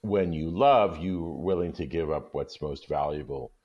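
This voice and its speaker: A man speaking as an expressive story reader, in a literary storytelling style. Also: very deep voice, unhurried, muffled recording.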